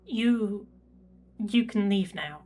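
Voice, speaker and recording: A woman's voice: mid-range voice, darkish tone. A woman playing a reserved imperial records prefect, dictating a log in a formal, precise manner for a sci-fi audio drama. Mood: flustered. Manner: formal, measured, clinical.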